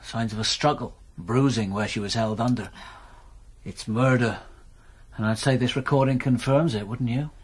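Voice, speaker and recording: An actor voices a grave police detective who states hard facts bluntly. His voice sits at a middle pitch and stays measured in this radio play. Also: slightly rough, close to an everyday voice. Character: grave, matter-of-fact male police detective.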